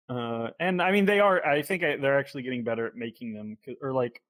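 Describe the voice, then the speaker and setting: Male co-host, mid-range voice, informal chat, halting and hedged phrasing. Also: mid-range voice, fairly bright tone. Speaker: podcast co-host. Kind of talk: casual chat.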